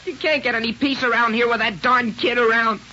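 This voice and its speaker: A man's voice: very high-pitched, steady tone, fairly quick, bright, forceful tone, heavily acted. An actor playing an earnest, dramatic sixteen-year-old American boy, impassioned and boyishly pompous, in old radio drama style. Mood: bitter, furious. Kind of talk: 1950s radio drama acting. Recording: muffled, noisy old radio broadcast.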